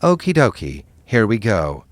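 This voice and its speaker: Man as a support-group leader, very deep voice, lively, soothing, dry sound. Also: a little slow, no echo. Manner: soothing, patient facilitator. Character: calm male leader of a villains' support group. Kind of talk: comedy sketch acting.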